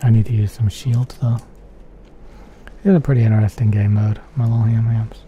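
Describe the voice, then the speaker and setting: A man giving soft-spoken ASMR gameplay commentary in a murmur, repeating pet phrases, close to the microphone. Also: on the low side, fairly even intonation, moderate pace, muffled recording. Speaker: video game streamer. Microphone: close stereo microphone. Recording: faint keyboard typing noise.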